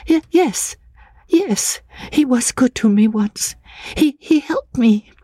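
A woman voicing a frightened, fragile young woman, timid and trembling, with halting, stammering speech and a whispery voice in a dramatic audiobook reading. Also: high-pitched, lively intonation, dark tone, no room sound, studio quality, voice pushed up, heavily acted. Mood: frightened, faltering. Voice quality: breathy, whispery.

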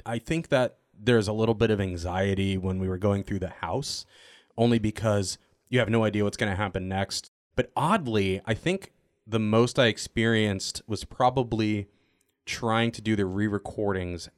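A man's voice: on the low side, moderate pace, no echo, studio quality. A man in a casual panel chat, telling anecdotes as a podcast cast member and acting out what people said. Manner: anecdotal, acts out quoted exchanges, teasing.

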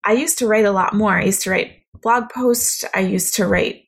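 A young woman with a naturally quiet voice, talking reflectively in a solo podcast monologue. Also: mid-range voice, moderate pace, slightly rough. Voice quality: quiet, soft-spoken voice. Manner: reflective, conversational, thoughtful. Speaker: podcast host.

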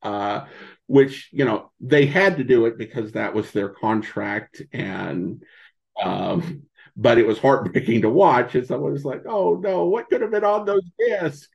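An American man answering as a podcast guest, conversational and halting as he thinks aloud, on dull lo-fi audio. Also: mid-range voice, creaky. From United States, rural Montana in early childhood. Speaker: podcast guest, old-time radio podcaster.

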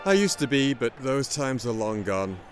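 A man's voice: mid-range voice, very animated, moderate pace, natural voice. An actor playing a lonely, stubborn old man in an old-style radio drama, with folksy, old-fashioned turns of phrase. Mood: gruff, reassuring. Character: lonely, stubborn, worried old man haunted by his past. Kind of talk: 1930s and 40s style radio drama acting. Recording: music playing over the voice.

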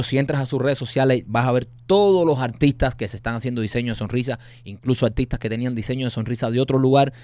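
A man's voice: mid-range voice, a little mellow, dry recording. A man co-hosting a podcast, reading a sponsor ad with enthusiastic, persuasive delivery. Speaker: podcast co-host. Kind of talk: sponsor ad read.

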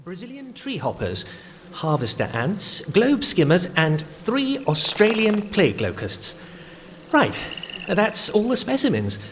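A man playing an eccentric, keen entomologist in an audio drama, speaking with deliberate enthusiasm. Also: sits high, huge pitch swings, fairly bright tone, long reverb tail, slight background noise, heavily acted. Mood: busy, keen.

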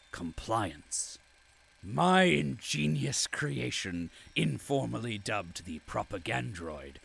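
A man's voice: mid-range voice, gravelly, sharp tone, heavily acted. A man playing a pompous, zealous bureaucrat who delivers florid, self-satisfied narration in audio drama style. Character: pompous, zealous male propaganda bureaucrat narrating his chronicle. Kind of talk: theatrical scripted narration.

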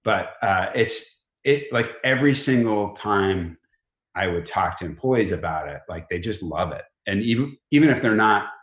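A middle-aged man talking casually as an interview guest, informal and halting, often rephrasing himself as he goes. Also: fairly low pitch, lively intonation, a bit bright, roomy sound. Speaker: company chief executive, podcast guest.

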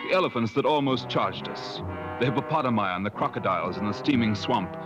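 An actor playing a genteel safari companion who narrates a 1950s radio drama in a polite, literary, measured way. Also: male voice, fairly low pitch, close to an everyday voice. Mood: reflective narration. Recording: muffled old radio, loud music underneath.

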